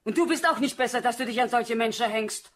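A woman's voice: a little high, brisk, natural voice. A woman playing a fretful, scolding middle-aged mother in a German radio drama.

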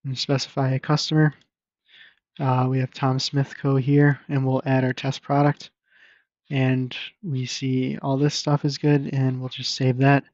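A man presenting a casual screen-share software demo in an informal, explanatory and somewhat hesitant way. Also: mid-range voice, completely flat delivery, unhurried, no echo. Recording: dull lo-fi microphone recording.

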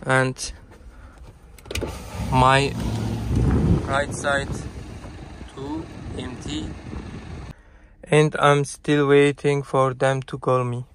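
A man, a truck driver, narrating his wait at a loading dock in short, simple, halting English from his cab. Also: medium pitch, level tone, background noise. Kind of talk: casual vlog narration.

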